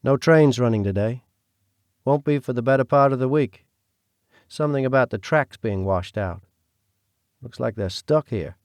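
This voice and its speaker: A man voicing a steady, protective man who speaks calmly and firmly. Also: mid-range voice, moderate pace, pauses often, no room sound, studio quality, lightly acted. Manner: calm, firm.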